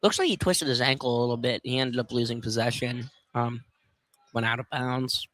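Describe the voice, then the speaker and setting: A man's voice: medium pitch, normal speed, fairly bright tone, no room sound. A man analysing a live basketball game on radio, explaining plays in a conversational, tentative way. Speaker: color commentator for basketball. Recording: noisy live gym broadcast.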